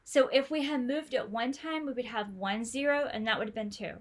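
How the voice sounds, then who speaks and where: A woman's voice: a little high, fairly quick. Chatty woman coaching a study group. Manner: encouraging, chatty, many fillers and restarts. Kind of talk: online tutoring session.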